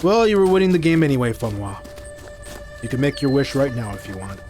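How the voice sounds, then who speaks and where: A man's voice: mid-range voice, very animated, brisk, a little mellow, soft background music, close to an everyday voice. A man playing a resolute hero and trusting leader in an audio drama, speaking plainly and with command. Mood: relaxed, friendly.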